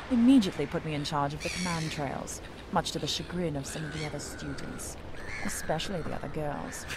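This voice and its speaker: An actress playing an ambitious young research scientist in an audio drama, precise, formal and self-important. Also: female voice, on the low side, noisy background, lightly acted. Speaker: actress, lead narrator of the episode. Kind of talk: scripted audio drama, first-person narration and dialogue.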